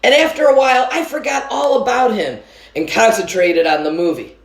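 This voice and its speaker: A woman reading a children's book aloud with an expressive storytelling manner. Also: fairly high pitch, normal speed, echoey room, low-quality recording. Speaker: story reader.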